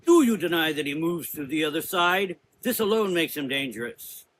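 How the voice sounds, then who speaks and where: Gothic drama matriarch, forties male actor: commanding, suspicious, sharp-edged, pitched up. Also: expressive, moderate pace, slight background noise, low-quality recording. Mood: angry, accusing. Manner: authoritative, accusing. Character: stern commanding forty-year-old woman, head of a temple, suspicious. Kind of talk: gothic horror audio drama.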